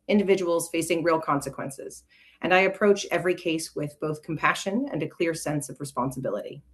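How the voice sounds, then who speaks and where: A woman in her forties, a judicial candidate, giving an earnest, rehearsed closing statement over a video call. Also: fairly low pitch.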